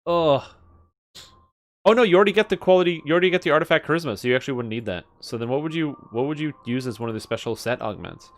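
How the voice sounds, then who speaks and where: Casual guy thinking out loud on stream. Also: a little high, lively intonation, quick pace. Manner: muttering through item stats, profane. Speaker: game streamer. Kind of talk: casual live stream commentary, thinking aloud.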